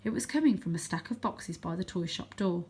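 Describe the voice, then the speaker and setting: A woman narrating a children's book aloud as a storyteller, with expressive delivery. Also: fairly low pitch, fairly quick, slightly rough. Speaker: storybook reader.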